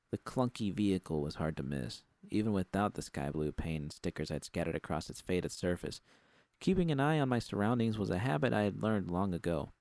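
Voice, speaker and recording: A man's voice: low voice, vocal fry, a little mellow, dry recording. A man reading a first-person story as an audiobook narrator, in a scripted literary style.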